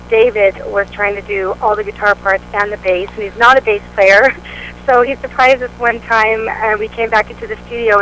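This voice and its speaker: Bright-voiced woman gabbing about her band, lots of ums, faint hiss behind. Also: mid-range voice, poor audio. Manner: upbeat, chatty, many fillers. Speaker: band vocalist, interview guest. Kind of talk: casual interview answers.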